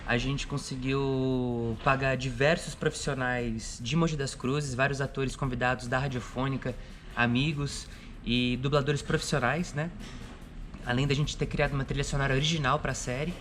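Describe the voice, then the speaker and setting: A man's voice: mid-range voice, steady tone, normal speed, slight background noise. A Brazilian man speaking as a producer in an interview testimonial, giving a spoken account of a project. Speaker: producer of an audio drama. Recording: loud music behind the voice.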